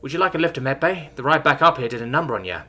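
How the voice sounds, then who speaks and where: Man doing a tough crude space lady, dry, kinda husky. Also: medium pitch, expressive, racing, sharp tone, faint music behind, voice pushed up. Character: blunt, weary, tough human woman fighter.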